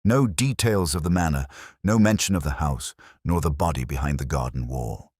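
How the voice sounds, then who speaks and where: A man playing a weary, cerebral police inspector, narrating a detective audio drama in a measured, brooding, literary way with a gravelly voice. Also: a bass voice, darkish tone, no room sound, clean studio sound.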